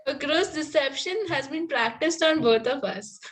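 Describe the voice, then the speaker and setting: Young Victorian ingénue, read by a woman, high voice, sweet but pointed, formal, stage comedy read-through. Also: expressive, moderate pace. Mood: shocked, wounded. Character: sweetly barbed, innocent young Victorian girl.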